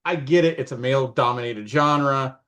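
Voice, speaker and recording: A man's voice: mid-range voice, moderate pace, a bit bright. A man hosting a casual interview. He speaks eagerly and often trips over his words. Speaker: podcast host.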